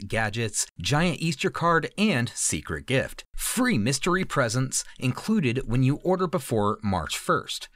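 A man acting a mail-order ad pitchman. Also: fairly bright tone, no echo, studio quality. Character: salesman reading old mail-order ad copy. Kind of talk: advertising announcer reading.

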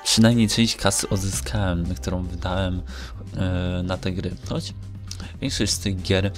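Young guy, low voice, casual gaming vlog, kinda hesitant and rambly, music playing behind him. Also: fairly even intonation, a little slow. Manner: hesitant, rambling, self-correcting. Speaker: gaming vlogger. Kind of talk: casual unscripted screen commentary.

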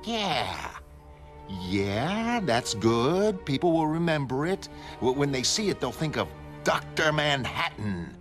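A man's voice: on the high side, sing-song, slow, music in the background, voice pushed up. A man voicing an eager, slick publicity man in a motion comic, chummy and salesmanlike.

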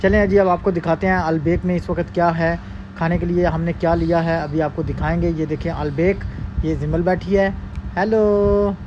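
A man's voice: on the high side, steady tone, normal speed, noisy background. A man casually narrating a food vlog, upbeat and chatty, repeating his praise and talking straight to his viewers. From South Asia. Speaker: food vlogger. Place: busy shopping mall food court, indoors. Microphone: phone camera held in hand. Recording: muffled phone audio, loud mall crowd.

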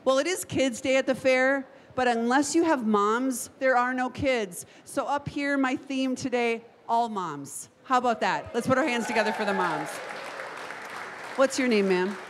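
A woman's voice: a little high, measured pace, long reverb tail, a faint crowd, slight background noise. A woman TV meteorologist, giving a live forecast from a state fair stage, upbeat and chatty with her guests. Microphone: handheld mic.

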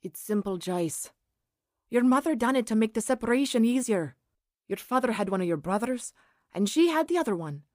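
An actress playing a kindly, plain-spoken Scottish aunt in a radio drama, homely and matter-of-fact. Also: female voice, fairly high pitch, expressive, normal speed, darkish tone, no echo, clean studio sound, close to an everyday voice. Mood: frank, consoling.

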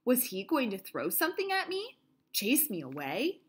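A woman reading a children's picture book aloud in an expressive style, with deliberate, dramatic phrasing. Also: a little high, slightly rough. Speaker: storybook reader.

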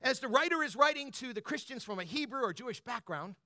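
Animated, high-pitched male preacher. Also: fast, no room sound, studio quality. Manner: animated, colloquial, punctuates points with "right?" and "bro". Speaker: pastor preaching. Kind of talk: conversational, motivational sermon.

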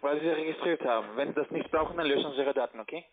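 A man making a cold sales call by phone, with halting, non-native German phrasing. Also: on the high side. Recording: tinny narrowband phone line.

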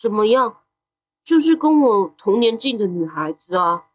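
A woman's voice: medium pitch, big pitch swings, moderate pace. A woman playing a curious, easily frightened young teenage boy in an audio drama. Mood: pondering, uneasy. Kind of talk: Mandarin audio drama acting.

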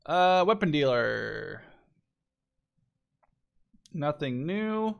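A man in his twenties talks through a video game in a fairly high voice. His commentary is casual and rambling, with sentences that interrupt themselves and loose, sweary language. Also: sing-song, drawn-out words, vocal fry, clean tone, muffled recording. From Canada or the Netherlands. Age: 20s. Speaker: gaming video creator.

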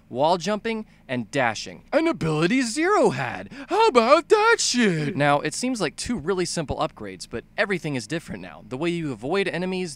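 A man doing excitable comedic video game commentary, emphatic and full of swearing. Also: a little high. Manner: emphatic, sweary, bursts into shouting. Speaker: video essay narrator, fan dubbing a game review.

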